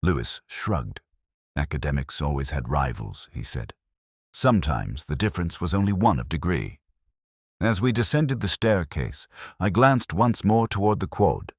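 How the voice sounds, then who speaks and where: Man, very deep voice, expressive pitch movement, scripted mystery narration, measured, brooding, literary. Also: slightly rough, no room sound, clean studio sound. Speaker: narrator of a detective story.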